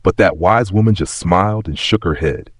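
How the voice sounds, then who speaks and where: A man's voice: sits low, normal speed, no echo. A man narrating a scripted sentimental story in a dramatic, folksy storytelling manner.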